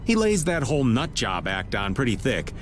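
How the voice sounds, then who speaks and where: An easygoing, wisecracking middle-aged man from a game, voiced by a man. He drawls at a mid pitch, his voice wandering loosely up and down. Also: sing-song, normal speed, slight background noise, close to an everyday voice.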